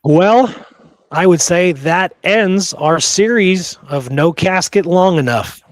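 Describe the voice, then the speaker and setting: A man's voice: sits high, slow pace, no room sound. A man hosting a podcast, talking casually about history in an emphatic, opinionated, rambling storytelling way. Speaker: podcast host.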